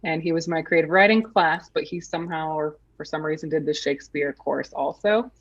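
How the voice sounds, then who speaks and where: A woman author speaks over a video call in a lowish voice, and the remote line sounds slightly dull. She tells stories in a relaxed way and stops to rethink her phrasing. She laughs easily with the hosts. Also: brisk. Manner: relaxed anecdotal storytelling with hesitations. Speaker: author guest. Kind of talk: interview storytelling.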